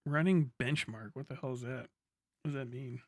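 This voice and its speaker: A man's voice: medium pitch, normal speed, dry recording, studio quality. A man live-streaming, chatting casually with viewers in a rambling, jokey, sweary way. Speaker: livestreamer.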